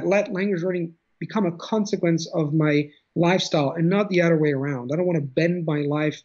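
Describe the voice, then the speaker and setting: A man in his thirties from Italy with an Italian accent, talking as an interview guest over a video call at home, thoughtful and often restarting his phrases. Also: fairly high pitch. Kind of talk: casual conversation. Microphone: video call webcam. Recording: dull lo-fi video call audio.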